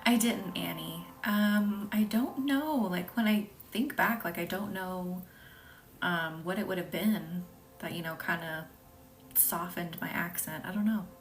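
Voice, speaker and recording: A woman from the American South hosting a live reiki session, talking softly and often in a half-whisper, hesitant and full of fillers as she answers viewers' comments. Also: on the low side, unhurried. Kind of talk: live-stream energy healing with viewer chat. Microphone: phone live stream. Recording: distant mic with music behind.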